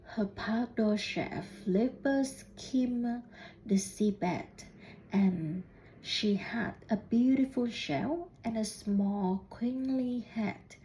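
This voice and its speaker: Very slow-reading woman, storybook read-aloud. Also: fairly high pitch, mellow, slight background noise, poor audio. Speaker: student reading a picture book aloud. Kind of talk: storybook read-aloud with questions to young listeners.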